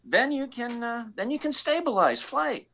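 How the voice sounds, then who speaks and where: A man plays a cocky maverick starship pilot for radio comedy. The voice is bright and forceful, leaping up and down in pitch. He rambles and doubles back on his words. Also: big pitch swings, vocal fry, heavily acted. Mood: casual, exasperated. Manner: rambling, halting, repeats words. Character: cocky, rambling, free-spirited male maverick space pilot. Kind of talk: comic radio play acting.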